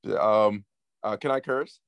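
A man from Peru who grew up partly in New York, giving casual interview answers as a music artist, haltingly and with repeated words. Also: lowish voice, gravelly, clear, resonant tone, no room sound. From Peru, moved to the US at six, based in New York City. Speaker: guest, up-and-coming Latin music artist.

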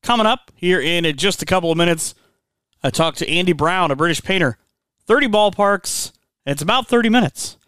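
Man, sports podcast host, smooth resonant voice, dry close sound, easygoing chat. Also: high-pitched, expressive, fairly quick, a bit bright, dry recording. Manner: easygoing, conversational, with fillers.

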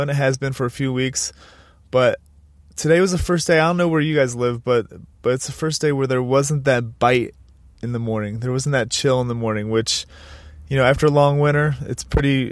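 A male podcast host with a stuffed-up, nasal voice from a sinus infection. He talks in an upbeat, energetic way and often restarts his sentences. The sound is very dry and close, with no room in it. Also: medium pitch, dry recording. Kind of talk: podcast intro and interview. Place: Colorado.

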